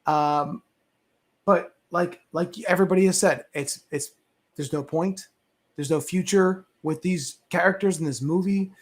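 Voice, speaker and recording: A man's voice: sits high, a little slow. A man on a movie discussion panel, giving opinions casually and conversationally, often rephrasing himself as he goes.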